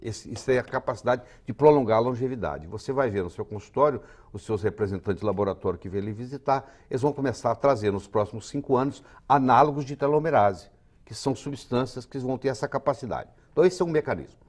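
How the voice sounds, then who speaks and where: A man's voice: medium pitch, fast talker, a little mellow. A man in his sixties with a Brazilian accent, a physician giving confident, lecturing explanations as an interview guest. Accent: Brazilian Portuguese. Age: 60s.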